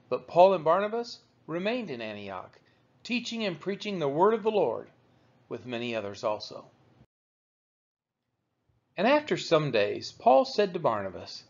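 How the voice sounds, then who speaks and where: A man reading the Bible aloud as measured narration, with deliberate, emphatic phrasing. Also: on the high side. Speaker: Bible reader. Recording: dull, lo-fi recording.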